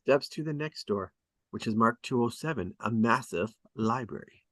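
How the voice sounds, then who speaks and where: A man reading stage directions aloud at a script table read, in a deliberate, descriptive way. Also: mid-range voice, animated, fairly bright tone, no echo.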